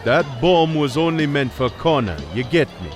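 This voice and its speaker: A man's voice: a little high, expressive, moderate pace, soft background music, close to an everyday voice. A man playing a jumped-up, blustering crime middleman who talks in street slang in an audio drama. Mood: defensive.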